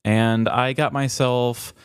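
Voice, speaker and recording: A man's voice: mid-range voice, steady tone, slow pace, clear, resonant tone, no echo, studio quality. A man co-hosting a podcast in casual, comedic chat, telling self-deprecating stories with some casual swearing. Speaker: podcast co-host.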